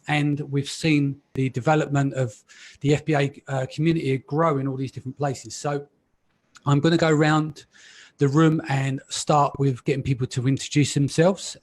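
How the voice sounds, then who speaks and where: A man hosting a live podcast panel, chatty and relaxed with frequent ums. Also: medium pitch, moderate pace. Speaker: podcast host.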